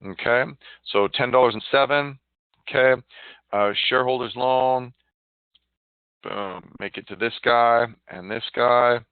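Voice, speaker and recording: A man giving a casual live software demonstration, chatty and informal, muttering to himself as he works. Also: medium pitch, unhurried, long pauses, smooth, dry recording. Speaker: software demo presenter. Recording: narrow, phone-like line, dry.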